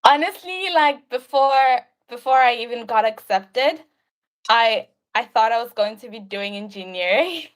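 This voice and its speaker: A woman's voice: fairly high pitch, lively intonation, unhurried, low-quality recording. A young adult woman with a South African accent chatting casually on a video call, rambling along with lots of filler "like". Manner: rambling, frequent "like". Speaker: livestream guest, university student. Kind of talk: casual chat.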